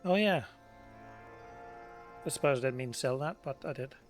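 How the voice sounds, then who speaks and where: A man's voice: mid-range voice, expressive. Man musing casually over a video game. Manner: musing, unhurried. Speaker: let's play gamer. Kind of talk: casual gameplay commentary, thinking aloud. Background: faint game music.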